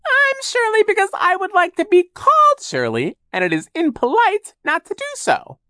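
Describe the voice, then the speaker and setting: Man doing a ridiculously high falsetto fake lady receptionist. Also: huge pitch swings, pushed and bright, no room sound, studio quality, heavily acted. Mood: mock-sweet. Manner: mock-sweet, drawn-out, theatrical. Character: evil villain posing as a foolish, sugary female receptionist.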